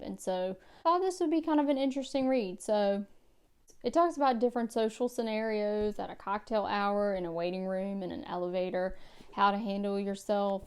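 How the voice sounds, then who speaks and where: Woman, home book vlog, clear smooth voice, measured, mid pitch. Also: darkish tone. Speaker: book vlogger. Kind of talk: casual book tag vlog. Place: home room by bookshelves.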